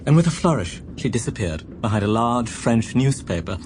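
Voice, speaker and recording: A man playing an earnest young English gentleman in a radio drama. His voice swings very widely in pitch, polite and eager, with a romantic streak. There is clear background noise around him. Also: sing-song, normal speed, natural voice. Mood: reflective. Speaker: narrator and actor. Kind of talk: radio drama narration and dialogue.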